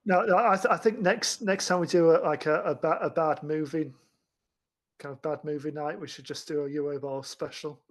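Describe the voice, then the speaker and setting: Male co-host, slightly high register, halting conversational delivery with repeated words. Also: steady tone. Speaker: film podcast co-host. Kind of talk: casual film discussion.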